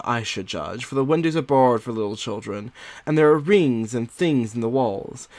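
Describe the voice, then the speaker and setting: A man voicing an anxious young Victorian wife confiding in her diary, in an expressive, dramatic audiobook reading with drawn-out words and pauses. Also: medium pitch, dry recording, close to an everyday voice.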